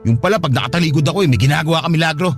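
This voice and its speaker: An actor playing an angry, jealous, belittling live-in partner in a radio drama, scornful and accusing. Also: male voice, fairly high pitch, rapid-fire, faint music behind, natural voice.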